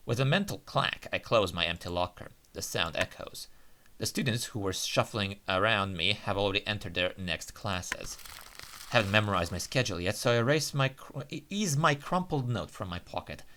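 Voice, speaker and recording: A man's voice: on the low side, expressive, moderate pace, pushed and bright, slight background noise. A man reading a visual novel aloud as game narration, with occasional asides of his own. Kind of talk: narrated read-aloud let's play with asides.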